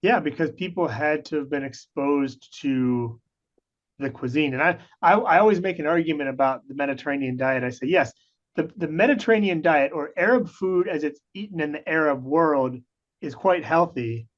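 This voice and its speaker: Slightly high man on a dull line. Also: normal speed. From United States (Pennsylvania). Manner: thoughtful, explanatory, hesitant restarts. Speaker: guest, researcher. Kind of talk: casual radio interview.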